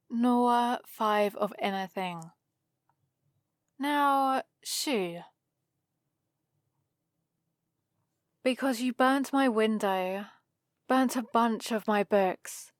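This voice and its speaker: A woman's voice: on the high side, dry recording, clean studio sound. A woman playing a prim, bossy and exasperated vampire librarian in a scripted ASMR roleplay, scolding in a fussy, theatrical way. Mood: annoyed, haughty.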